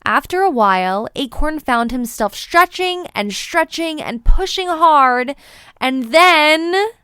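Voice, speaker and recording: A woman's voice: high voice, lively intonation, slow, bright, forceful tone, dry recording, clean studio sound. A woman narrating a children's storybook expressively, with playful, dramatic emphasis. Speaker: children's book read-aloud presenter.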